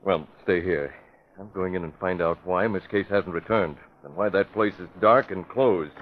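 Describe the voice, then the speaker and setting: Radio vigilante hero, played by a man: low male voice, firm and commanding, dull, slightly noisy vintage recording. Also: moderate pace, natural voice. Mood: resolute. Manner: commanding, terse orders. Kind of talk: classic radio drama acting.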